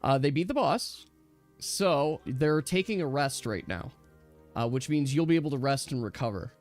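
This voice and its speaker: A man acting as game master, casually narrating a tabletop game in a halting, thinking-aloud manner. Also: fairly high pitch, lively intonation, darkish tone. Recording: dry close mic with music behind. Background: music.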